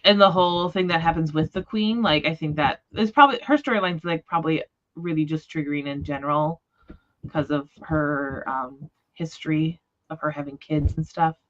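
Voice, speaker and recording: A woman's voice: deep voice, moderate pace, dull audio. A woman hosting a book discussion livestream in casual chat, talking in an informal, rambling, tentative way. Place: remote livestream call.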